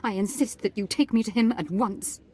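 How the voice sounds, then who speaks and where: A woman's voice: medium pitch, normal speed, dark tone, husky, close to an everyday voice. An actress playing a haughty, dramatic middle-aged noblewoman and mother in a video game, speaking formally and imperiously. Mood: indignant, demanding. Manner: imperious, melodramatic, formal diction.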